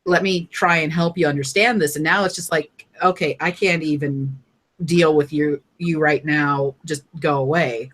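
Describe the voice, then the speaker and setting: A man as a guest in a casual conversation, talking loosely and trailing off mid-sentence, with a higher-pitched voice. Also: steady tone, normal speed, fairly bright tone.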